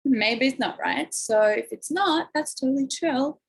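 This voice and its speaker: A woman life coach chatting effusively on a livestream, her voice croaky and hoarse. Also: high voice, a little slow. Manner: bubbly, effusive, lots of "like", coughing. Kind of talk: casual livestream chat. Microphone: phone or laptop livestream.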